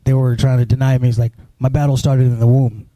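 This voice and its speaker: A man from Sudan tells his story in a very dark, mellow voice, stumbling over restarts and leaning on "you know" as he goes. The sound is dry and close, with no room around it. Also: mid-range voice, flat delivery, fast, no echo. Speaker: guest. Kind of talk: personal storytelling interview.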